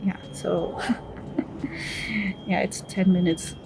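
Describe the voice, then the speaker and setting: A woman's voice: on the low side, fairly even intonation, extremely slow, vocal fry, background noise. A Filipino woman in her twenties, a native Filipino speaker, chatting casually to camera in a vlog and laughing often. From Philippines. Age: 20s. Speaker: vlogger. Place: outdoors and in a city on a day trip. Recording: distant mic, loud background music.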